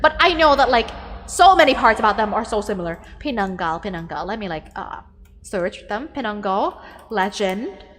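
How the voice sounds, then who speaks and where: A woman with a Thai accent chatting casually as a streamer, reading viewer messages and thinking out loud with lots of "like" fillers. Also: mid-range voice, lively intonation, moderate pace, big-room sound. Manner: chatty, filler-heavy, thinks aloud with frequent "like".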